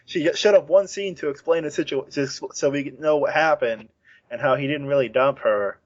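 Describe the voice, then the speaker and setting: A man's voice: a little high, normal speed, dry recording, muffled recording. A man co-hosting a podcast in casual, jokey film banter, insistent and prone to repeating himself. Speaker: podcast co-host.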